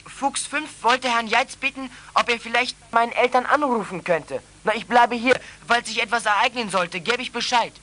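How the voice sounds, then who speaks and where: Young boy lookout calling in, highish bright voice, kids audio drama. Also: moderate pace, few pauses. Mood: alert. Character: dutiful young boy scout reporting by walkie-talkie. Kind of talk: German children's radio play acting.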